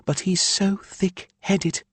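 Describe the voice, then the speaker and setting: A man's voice: high voice, steady tone, fairly bright tone, breathy edge, no room sound, voice pushed up, heavily acted. A man voicing an irritated, exasperated mother in an audiobook, with an edgy, emphatic delivery. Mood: annoyed. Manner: edgy, drawn-out emphatic speech. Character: irritated, exasperated wife and mother, female healer.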